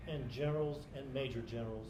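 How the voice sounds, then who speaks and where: A man's voice: medium pitch, quick pace. Man, stage reader, literary delivery, echoey live recording, faint background noise.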